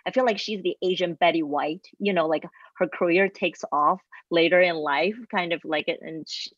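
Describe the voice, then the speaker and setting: A woman's voice: on the low side, normal speed, no room sound. Laughing woman, dry muffled sound. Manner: laughs while talking. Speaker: actress on a panel. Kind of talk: casual anecdote. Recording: dull, dry audio.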